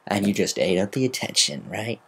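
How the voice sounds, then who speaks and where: Reader acting out a female cartoon griffin, sardonic, teasing, secretly caring, husky, very animated intonation. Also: deep voice, fairly quick, heavily acted. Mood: wry. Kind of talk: dramatic fan fiction reading.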